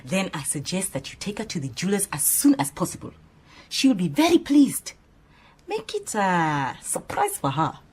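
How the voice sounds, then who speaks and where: Animated, opinionated woman assistant. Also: on the low side, normal speed, slightly rough, full character voice. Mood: firm, opinionated. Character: earnest, opinionated female detective's assistant, proud and chatty. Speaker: actor in radio drama. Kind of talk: radio drama acting.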